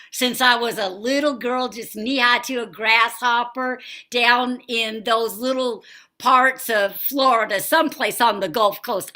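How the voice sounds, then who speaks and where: A woman's voice: fairly high pitch, sharp tone. A woman playing a chatty, flirty Texan woman with a put-on Southern accent who gushes nonstop about herself. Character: brassy chatty Texan woman, flirty self-proclaimed hot mama.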